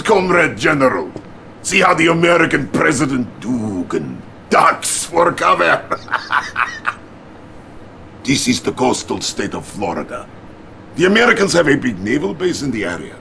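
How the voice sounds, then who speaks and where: An actor playing a jovial, boastful Soviet premier with a Russian accent and grandiose, playful menace in a game cutscene. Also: male voice, medium pitch, big pitch swings, vocal fry, bright, forceful tone, slight background noise, heavily acted. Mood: grand, commanding. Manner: grandiose, playful, theatrical pauses. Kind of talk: dramatic game cutscene acting.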